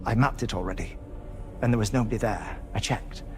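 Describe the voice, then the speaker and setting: An actor playing a regretful, insistent crewmate in a sci-fi game. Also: male voice, lowish voice, fairly quick, over music, natural voice. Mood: frustrated regret.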